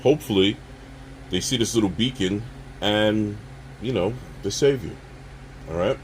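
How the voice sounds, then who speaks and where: A man casually demonstrating a product as a reviewer, speaking haltingly and addressing viewers directly. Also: fairly low pitch, a little mellow, a little hiss or hum, low-quality recording.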